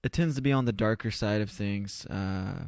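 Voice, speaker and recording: A man in his twenties answering casually as a podcast guest, halting and self-effacing, often repeating his words. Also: fairly low pitch, vocal fry, a little mellow, dry recording, studio quality. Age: 20s. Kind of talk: casual, unscripted interview answers. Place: converted garage home studio.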